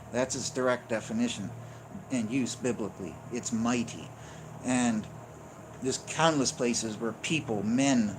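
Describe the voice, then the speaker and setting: A man teaching in an unscripted, insistent way, explaining point by point and checking in with "right?" and "okay?". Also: medium pitch. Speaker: religious teacher. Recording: noticeable background noise.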